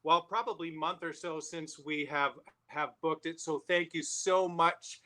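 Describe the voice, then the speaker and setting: A man hosting a live interview show, speaking in an excited, effusive manner. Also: fairly high pitch, unhurried. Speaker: show host.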